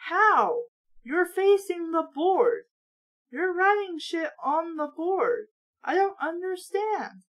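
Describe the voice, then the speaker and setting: A woman's voice: extremely high pitch, big pitch swings, slow pace, a bit bright. A woman reading aloud and breaking off for blunt, exasperated, sweary, sarcastic commentary. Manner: casual, sweary. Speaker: host of a book-mocking reading show.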